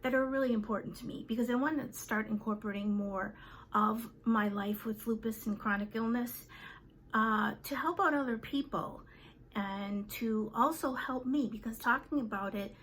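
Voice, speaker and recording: Chatty woman in her fifties, slightly high. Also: a bit bright, slight background noise, low-quality recording. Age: 50s. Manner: chatty, with many fillers and trailing pauses. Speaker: vlogger. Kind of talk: casual chat to camera.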